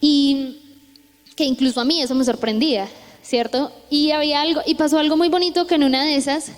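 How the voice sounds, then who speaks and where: A woman's voice: high-pitched, fairly quick. A young Colombian woman with a Colombian accent, preaching a chatty youth sermon with stories, often checking in with tag questions. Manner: chatty, frequent tag questions, lively retelling. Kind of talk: conversational sermon with storytelling. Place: church hall. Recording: echoey church hall.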